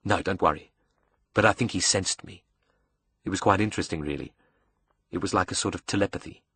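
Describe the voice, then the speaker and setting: A man's voice: sits low, a little fast, pushed and bright, slight background noise. A man voicing a cocky, sadistic young villain in an audiobook, brash and sarcastic, with a South African accent. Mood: gloating. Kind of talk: audiobook character dialogue.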